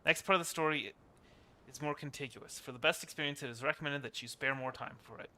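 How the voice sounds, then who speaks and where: A man's voice: on the high side, quick pace, pushed and bright, dry recording. A man doing casual live stream commentary on a video game, rambling and repeating his phrases, close on the mic.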